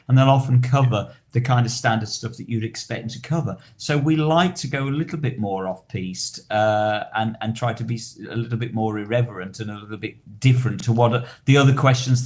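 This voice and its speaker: A man co-hosting a podcast in casual discussion, talking wordily and haltingly as he repeats and rephrases himself. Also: mid-range voice, darkish tone. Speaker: podcast co-host.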